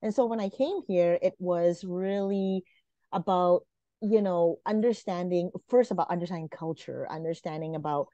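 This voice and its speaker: A woman's voice: lowish voice, normal speed, mellow, dry recording. A woman in her forties from Malaysia, a guest talking earnestly on a video call with many fillers and restarts. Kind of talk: casual interview talk. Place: home room, video call. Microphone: video call webcam. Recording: dull lo-fi video call audio.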